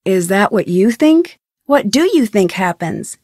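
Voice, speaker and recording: A woman's voice: mid-range voice, big pitch swings, unhurried, a little mellow, dry recording, clean studio sound. A young American woman voicing an inquisitive, polite and determined amateur detective in an adventure video game, with an earnest, questioning delivery. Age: young adult. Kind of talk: scripted adventure game voice acting.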